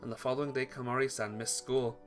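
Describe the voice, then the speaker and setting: A man's voice: mid-range voice, little pitch movement, quick pace, over music. A man reading visual novel text aloud as dramatic, earnest, emotive story narration for a playthrough.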